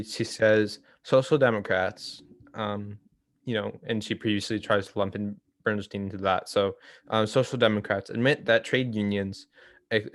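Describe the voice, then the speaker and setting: Low voiced guy hosting a book chat, dry close sound, kinda hesitant, keeps correcting himself. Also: no echo. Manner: hesitant, self-correcting, explanatory. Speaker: host of a political theory read-along. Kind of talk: informal book discussion.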